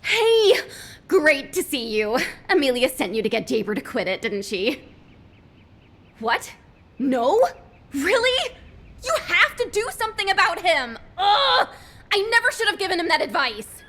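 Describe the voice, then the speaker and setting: A woman's voice: very high voice, big pitch swings, unhurried, bright, forceful tone, heavily acted. An actress playing a restless, emotional young woman in a video game cutscene, exasperated and rambling.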